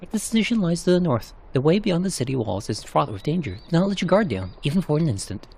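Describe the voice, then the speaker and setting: A man's voice: a little high, sing-song, fast, gravelly, darkish tone, husky, exaggerated voice. A man voicing a resolute, protective adventuring companion in a narrated game cutscene, earnest and urgent. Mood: cautioning.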